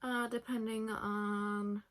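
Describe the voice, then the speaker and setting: A woman's voice: a little high, little pitch movement, slow, a bit bright. A young woman in her twenties from Norway, speaking English as a second language in a casual, chatty way with many fillers while sitting in her bed at home. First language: Norwegian. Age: 20s. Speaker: knitting podcast host. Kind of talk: casual chat.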